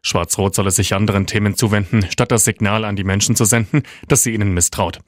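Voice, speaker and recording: A male radio reporter with a standard German accent reads a scripted news piece. His voice is on the low side, with a close, dry sound. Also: fairly even intonation, fast, no room sound.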